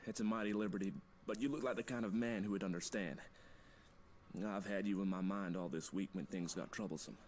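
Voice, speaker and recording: A male actor plays a mysterious American visitor in a story game. His voice is fairly low, nervy and urgent but polite. Faint noise and ambience sit behind him. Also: a little fast, a little mellow, natural voice. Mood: rattled, apologetic. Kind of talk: dramatic game voice acting.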